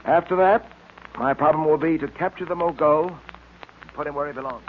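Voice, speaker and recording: A man's voice: on the high side, fairly quick, natural voice. A man playing an upright, earnest police detective in old radio drama style, speaking in a firm, clipped, fatherly way. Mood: brisk, resolute. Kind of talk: 1940s radio acting. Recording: tinny noisy old radio recording.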